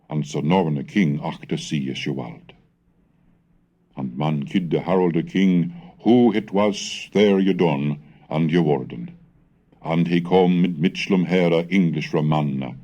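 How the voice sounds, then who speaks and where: A man reading a scholarly script in a measured, formal, lecturing manner, as narrator of a language history lesson. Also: sits low, warm tone, lo-fi sound. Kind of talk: scholarly scripted narration and recitation of old texts.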